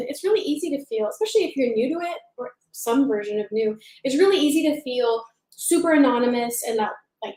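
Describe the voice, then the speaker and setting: A young woman from Seattle talks upbeat and eager on a home live stream, quick to chime in. Her voice sits a little higher than most women's. The room gives her voice a clear echo. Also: a little mellow. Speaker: co-host of a couple's channel. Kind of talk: casual live-stream chat.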